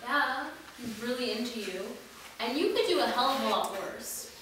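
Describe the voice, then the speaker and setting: A woman's voice: fairly high pitch, expressive, normal speed, a bit bright. Woman playing a blunt teen girl, very echoey. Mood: annoyed, probing. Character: blunt, nosy, caring teenage girl best friend. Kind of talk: staged script reading. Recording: very echoey room, distant mic, noisy.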